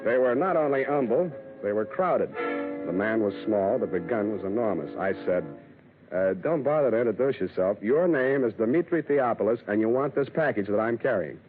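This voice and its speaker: An American actor playing a wisecracking, hard-boiled private detective in a deadpan, sardonic 1940s radio style. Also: male voice, on the low side, moderate pace, lightly acted. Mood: dry, wry. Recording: tinny band-limited old radio broadcast, music.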